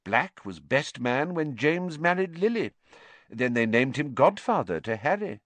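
A man's voice: mid-range voice, very animated, a little slow, fairly bright tone, no echo, voice pushed up. A man voicing a pompous, blustering middle-aged government minister in a storybook. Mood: confiding.

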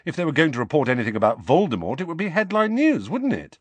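A man's voice: fairly high pitch, very animated, fast talker, no room sound, voice pushed up. A man reading an audiobook, voicing a teenage boy who sounds insistent, defensive and heated. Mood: indignant. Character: indignant teenage wizard boy. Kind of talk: audiobook character voice.

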